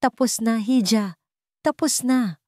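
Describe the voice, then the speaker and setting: A woman voicing a stern, wealthy older father-in-law in a narrated story, measured, solemn and authoritative. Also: on the high side, warm tone, no echo, clean studio sound, pitched above the performer's own voice. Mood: soothing, firm. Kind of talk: acted dialogue in a narrated story.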